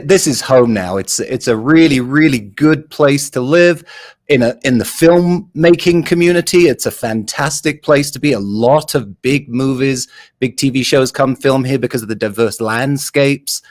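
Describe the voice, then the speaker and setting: Enthusiastic London-born video producer. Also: male voice, medium pitch, moderate pace. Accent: English, London. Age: 40s. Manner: enthusiastic and animated. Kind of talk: interview answers.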